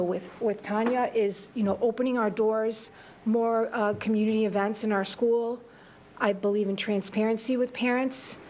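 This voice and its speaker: A woman's voice: mid-range voice, slight background noise. A woman whose first language is Greek, answering a school board committee in a meeting room with earnest, thoughtful speech full of fillers. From Greece. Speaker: assistant principal candidate.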